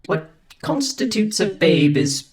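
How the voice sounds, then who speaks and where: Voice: medium pitch, very animated, slow pace, reverberant, over a noisy crowd. A performer playing a prim, long-suffering android valet in a comic sci-fi audio drama, speaking with formal, fussy, deferential precision. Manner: formal, precise, servile, wordy.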